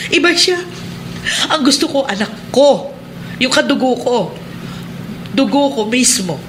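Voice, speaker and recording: An aggrieved middle-aged wife in a Filipino radio drama, still grieving. Her voice runs a little high and presses each complaint insistently. Clear ambient noise plays behind her. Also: expressive, unhurried. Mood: bitter grief.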